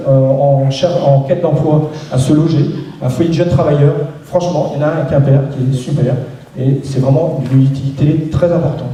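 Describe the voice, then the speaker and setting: A man with a dark, mellow voice, pitched a little high for a man, gives a local campaign speech. He halts and restarts his phrases often. He is heard from a distance in a very echoey room. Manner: hesitant, many restarts and fillers. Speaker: local election candidate.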